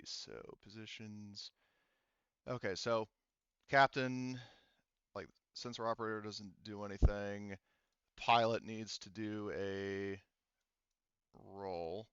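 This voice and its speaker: A man's voice: on the low side, slow, pauses often, a bit bright, smooth, dry recording, lo-fi sound. A man acting as game master, casually narrating a tabletop game and muttering to himself as he checks rules and counts.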